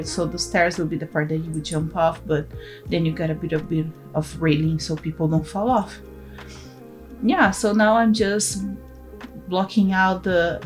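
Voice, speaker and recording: Chatty Brazilian woman narrating a game. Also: mid-range voice. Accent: Brazilian Portuguese. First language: Portuguese. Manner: chatty, laughs often, restarts words. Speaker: gaming channel host. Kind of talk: casual voice-over commentary on a game build.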